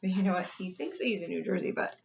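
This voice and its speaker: A woman's voice: lowish voice, lively intonation, fast, vocal fry, muffled recording, slight background noise. A woman reading a picture book aloud to children as a school counselor.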